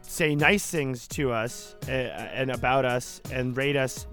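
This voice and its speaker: A man's voice: mid-range voice, slow, a bit bright, faint music behind. A man co-hosting a podcast, bantering in a sarcastic, jokey way. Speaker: podcast co-host. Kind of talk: casual comedic podcast banter.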